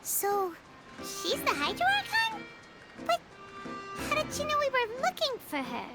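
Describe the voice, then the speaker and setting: A woman's voice: wildly swooping pitch, a little slow, heavily acted. A woman voicing a tiny, chatty female floating companion in a video game who talks about herself in the third person and says whatever comes to mind. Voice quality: high and squeaky. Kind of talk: video game cutscene dialogue. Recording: reverby game audio, faint music.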